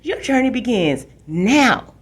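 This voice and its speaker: Storybook role: small little brother, nine played by a woman, raised pitch, pleading, sulky, teasing. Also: very animated, slow pace, husky, exaggerated voice. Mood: mocking, dramatic.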